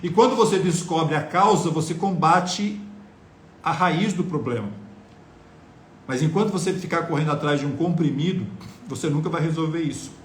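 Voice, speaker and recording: A man's voice: on the high side, moderate pace, reverberant, slight background noise. A man giving a live-streamed health talk, lecturing viewers earnestly and asking them rhetorical questions. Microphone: phone on mobile data.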